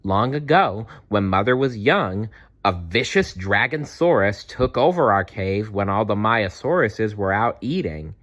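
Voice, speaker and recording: A man's voice: fairly low pitch, animated, measured pace, fairly bright tone. A man voicing a cautious but loyal little girl dinosaur in a storybook, childlike, chatty and earnest. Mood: explaining.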